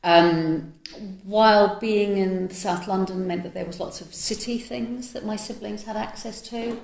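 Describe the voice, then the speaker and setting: A middle-aged woman who grew up in New Zealand, giving long, animated answers as an author being interviewed. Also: mid-range voice, a little slow, gravelly, roomy sound, rough recording. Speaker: author and theatre maker guest. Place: literary festival.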